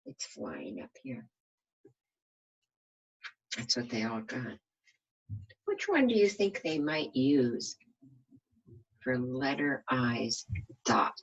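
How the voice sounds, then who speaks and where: A woman's voice: very deep voice, big pitch swings, takes it slowly, pauses often, slightly rough, dull audio. A woman reading a children's storybook aloud like a kindergarten teacher, expressively and with questions for her listeners.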